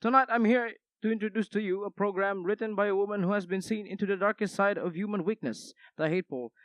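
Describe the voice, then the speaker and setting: Sinister, pompous radio horror announcer, played by a young man, high voice, dry sound, theatrical delivery. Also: fast talker, dry recording, close to an everyday voice. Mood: ominous. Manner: pompous, dramatic, snapping at times. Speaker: announcer introducing a radio thriller. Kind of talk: theatrical radio drama introduction.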